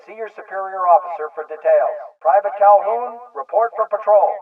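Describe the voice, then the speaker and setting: An actress playing a stern female military announcer, giving formal, impersonal orders through a loudspeaker filter. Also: mid-range voice. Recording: tinny, band-limited distant PA speaker.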